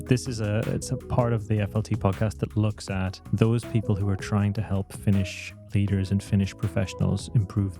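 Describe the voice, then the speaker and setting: A man's voice: on the low side, creaky. A man hosting a podcast, joking around and rambling with frequent restarts. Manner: jokey, rambling, restarts a lot. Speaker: podcast host. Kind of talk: casual interview.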